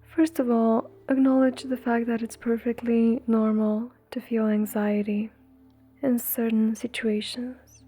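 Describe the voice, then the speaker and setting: A woman leading a guided meditation in a soothing, breathy, gentle way, leaving long pauses between phrases. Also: fairly high pitch, little pitch movement. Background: faint music.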